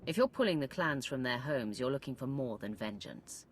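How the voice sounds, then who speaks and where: A woman playing a steady, commanding leader giving orders in a game cutscene. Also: a bass voice, moderate pace, long reverb tail, a little hiss or hum.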